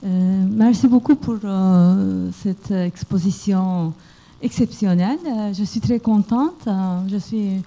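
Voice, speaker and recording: A woman's voice: medium pitch, slow pace, dark tone. A woman from Canada asking a speaker a question from the floor of a lecture hall, hesitating often with fillers. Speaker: audience member asking a question.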